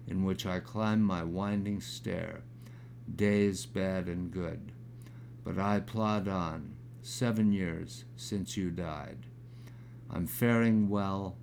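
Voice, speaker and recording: Poet, man in his 60s, deep and gravelly, unhurried reading of his own verse. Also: a little mellow, slight background noise. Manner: halting with fillers when talking, measured when reciting. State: crackly, gravelly voice.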